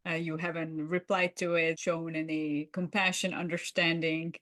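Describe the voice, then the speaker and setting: A woman's voice: sits low, little pitch movement, normal speed, fairly bright tone. A woman co-hosting a podcast, talking in a conversational, reflective way as she explains her observations. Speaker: podcast co-host. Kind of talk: conversational debrief of a roleplay.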